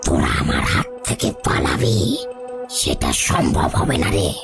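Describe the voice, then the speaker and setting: A man voicing a bloodthirsty ghoul in a cartoon, with a gravelly, menacing, taunting delivery over loud music. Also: deep voice, sing-song, a little slow, heavily acted. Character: bloodthirsty male ghoul, gloating and cruel.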